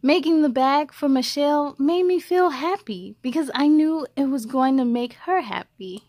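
Casting: young adult woman, high voice, children's storyteller, expressive and encouraging read-aloud delivery. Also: moderate pace.

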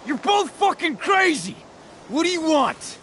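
Gruff, volatile American man, creaky voice. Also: on the low side, lively intonation, unhurried, a little hiss or hum. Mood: pained, angry. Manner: gruff, confrontational, foul-mouthed. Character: volatile, defensive man with a rescued dog. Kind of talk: dramatic video game voice acting.

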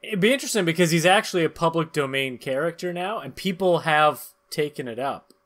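A man with a high-pitched voice hosts a comic podcast. His tone is bright and forceful as he trades banter and delivers mock-dramatic narration. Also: expressive, a little fast. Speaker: podcast host. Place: small room at home, video call.

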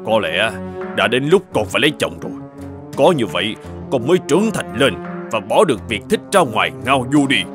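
A man's voice: medium pitch, big pitch swings, a little fast, over music, lightly acted. A man playing a stern, greedy old king with an imperious manner in a children's cartoon. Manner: imperious, commanding. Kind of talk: dubbed children's cartoon acting.

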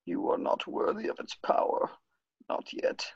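A man voicing an ancient, sinister alien master in a raspy, creaky whisper, in a fan table read. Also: normal speed, lightly acted. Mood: commanding, cold. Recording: dull, lo-fi video call.

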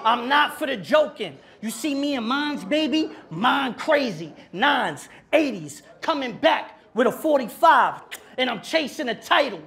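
A man's voice: extremely high pitch, sing-song, measured pace, vocal fry. A man in his thirties performing battle rap a cappella on a club stage, taunting his opponent and repeating his lines, with Spanish slang mixed in. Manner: aggressive, taunting, repeats and shouts his bars. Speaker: battle rapper. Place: indoor club stage with a live crowd.

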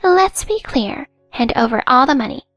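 A woman's voice: expressive, normal speed, gravelly, no room sound, faint music behind. A woman voicing a pushy, entitled middle-aged woman in a storybook narration, speaking in a bossy, smug manner. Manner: bossy, smug, later shrill and pleading.

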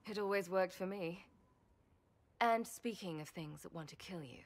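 An actress playing a battle-worn, wry champion and rebel mage in a video game, speaking in an earnest, measured way. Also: female voice, fairly low pitch. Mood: wry, reflective. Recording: loud ambient noise behind.

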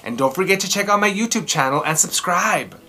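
A man in his thirties hosting a children's read-aloud, telling stories and chatting in a theatrical, emphatic way with drawn-out words. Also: sits high, expressive, sharp tone. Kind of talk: expressive storytelling and chatty commentary for children. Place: small furnished room indoors.

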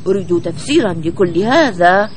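A man voicing a friendly, puzzled young bird in an expressive, clearly spoken children's cartoon dub. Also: sits high, normal speed, heavy background noise. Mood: puzzled, worried. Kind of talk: Arabic children's cartoon dubbing.

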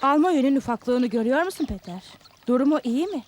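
An impatient village woman in a dubbed cartoon. Her high voice swings in a lively way as she presses and questions, with faint noise behind her. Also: fast. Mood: impatient, concerned.

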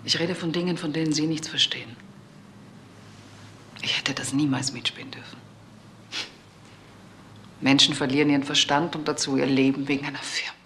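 An embittered businesswoman in a radio crime drama. Her voice is very low for a woman, clipped and bitter, with faint noise behind it. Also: steady tone, normal speed, long pauses, a bit bright.

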